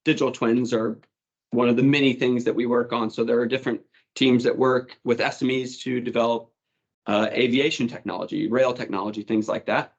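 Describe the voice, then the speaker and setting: A man's voice: mid-range voice, fairly even intonation, fairly quick, highs cut off. A man answering questions on a panel, speaking casually with many "kind of"s and "um"s.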